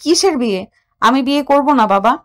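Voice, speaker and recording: A woman's voice: high voice, expressive, fairly quick. A woman playing a frightened but defiant young daughter in an audio story, hesitant and pleading. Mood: shocked, defiant. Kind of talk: Bengali audio story acting.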